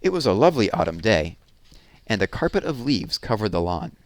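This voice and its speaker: A man with a fairly low voice reads a children's story aloud, his pitch rising and falling a lot. He tells it gently and expressively, and the recording is dry and close. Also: very animated, brisk, no room sound.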